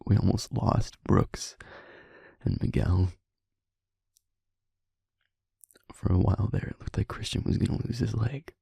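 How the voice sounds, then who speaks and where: A man playing a guilt-ridden werewolf pack leader confiding in a partner in an intimate audio drama, with a halting, heavy, emotionally raw delivery. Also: lowish voice, fairly even intonation, creaky, dark tone, husky, dry recording, studio quality, heavily acted. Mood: hushed, grave.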